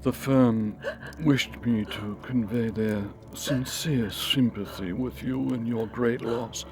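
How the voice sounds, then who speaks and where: A man's voice: mid-range voice, steady tone, slow. A man playing an uneasy, apologetic company messenger in an audio drama, formal, hesitant and deferential. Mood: formal, pained.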